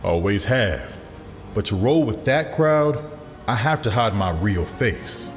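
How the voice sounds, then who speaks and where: A man's voice: fairly low pitch, lively intonation, takes it slowly. A man playing an earnest city official proud of his humble roots in an audio drama. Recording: reverberant sound with faint music.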